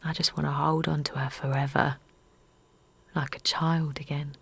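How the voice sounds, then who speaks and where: A woman's voice: deep voice, flat delivery, moderate pace, gravelly, a bit bright, breathy edge, full character voice. A woman playing an anxious, naive young mother in an intimate audio drama. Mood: hushed, aching. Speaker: actor and narrator in an audio drama. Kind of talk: intimate first-person audio drama acting.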